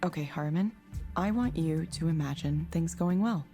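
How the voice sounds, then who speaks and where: An actress playing a polite, composed woman counsellor in a video game, measured, neutral and professional. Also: low voice, warm tone, faint music behind. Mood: calm, guiding. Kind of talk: visual novel game voice acting.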